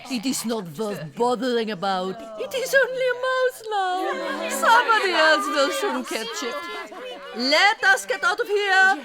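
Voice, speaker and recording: An actress playing a cruel, raging, commanding chief witch in an audio drama, with theatrical, drawn-out delivery. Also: very high-pitched, sing-song, exaggerated voice. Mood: dismissive scorn. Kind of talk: audiobook radio-play acting. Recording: loud crowd and music behind.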